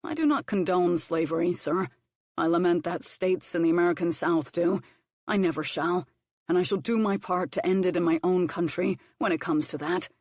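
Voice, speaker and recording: A woman doing a gentle, composed earl. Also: lowish voice, no echo, clean studio sound, close to an everyday voice. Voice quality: gentle, measured.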